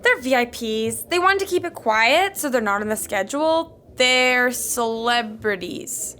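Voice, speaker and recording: A woman's voice: a little high, lively intonation, a little slow, pushed and bright, a little hiss or hum. A woman playing a frazzled, overworked wedding organizer in a sitcom podcast, flustered and chatty. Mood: nervous, evasive.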